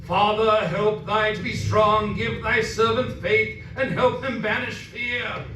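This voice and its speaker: Man playing a high-voiced, solemn man of faith. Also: flat delivery, slow pace. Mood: fervent, praying. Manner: solemn, incantatory, archaic phrasing. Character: fearful but devout male servant of God, praying against evil. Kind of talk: 1930s-style radio drama acting. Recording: echoey distant room, faint noise.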